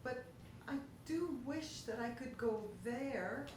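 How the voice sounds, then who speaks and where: A woman voicing a gentle, hopeful young moon boy in a storybook, with an earnest, wistful, singsong way of talking. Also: sits high, slow pace, very echoey, far from the mic, slight background noise.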